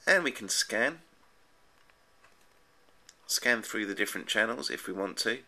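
A man's voice: on the low side, expressive, measured pace, pushed and bright. A man giving a casual, unscripted app review in a hesitant, rambling way, often repeating his words. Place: indoors at a desk, off screen.

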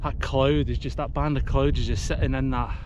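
A man's voice: mid-range voice, fairly even intonation, fairly quick, dull audio, noisy background. Slightly breathless Scottish man chatting outdoors. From Scotland. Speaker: hiking vlogger. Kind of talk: casual vlog chat. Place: outdoors on an open mountainside.

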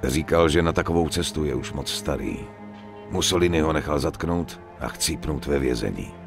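A proud young immigrant gangster in a game cutscene. He is serious and clipped in his delivery. Music runs clearly behind his voice. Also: extremely low pitch. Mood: somber. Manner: serious, curt. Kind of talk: dubbed video game cutscene acting.

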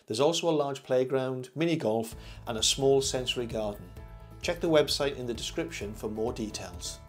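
An older man reading a scripted review voice-over as host, in a measured, formal presenter manner. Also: mid-range voice. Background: background music.

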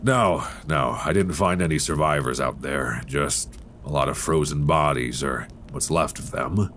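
A man playing a weary, grim survivor on an icebound ship in a horror ASMR roleplay, talking low-key and intimately to one listener. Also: measured pace, slight background noise. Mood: grim, resigned. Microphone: close binaural microphone. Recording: close binaural with steady storm-like ambience.

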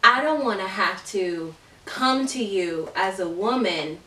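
A woman's voice: mid-range voice, expressive, slow pace, sharp tone, slight background noise. A young woman speaking earnestly and at length in a casual faith chat among friends. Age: young adult. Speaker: guest. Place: living room, group on a couch. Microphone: camera mic at a distance. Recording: echoey room, distant mic.

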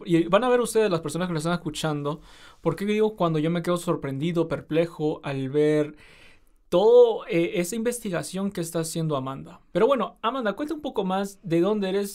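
A man's voice: on the high side, a little mellow. A man hosting a student podcast interview, asking questions in a friendly way with frequent fillers. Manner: friendly, lots of fillers like "eh" and "este".

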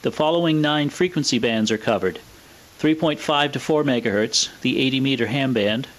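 A man's voice: mid-range voice, moderate pace, low-quality recording. A man presenting in scripted narration, explaining equipment in a methodical, matter-of-fact way. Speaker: presenter of a vintage radio equipment video.

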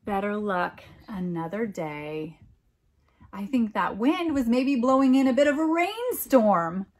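A middle-aged American woman reads a picture book aloud, adding expressive, wondering comments for young listeners. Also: a little high, measured pace. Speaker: storytime reader and teacher.

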